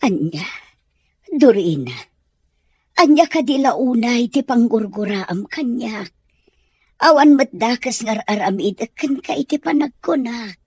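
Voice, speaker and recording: A woman playing a gentle, hurt schoolgirl in an audio drama, meek and pleading. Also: on the high side, expressive, a little slow, pauses often, husky, no echo. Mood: hurt, apologetic. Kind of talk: Ilocano audio drama acting.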